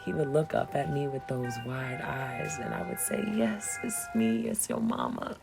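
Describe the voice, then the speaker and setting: A loving, bereaved mother speaks in an audio drama with a very deep voice and a creaky edge. She is tender and halting in her grief. Music plays loudly beneath her. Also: huge pitch swings, heavily acted. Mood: tender, wistful. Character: grieving, loving mother eulogizing her young son. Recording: loud music under the voice.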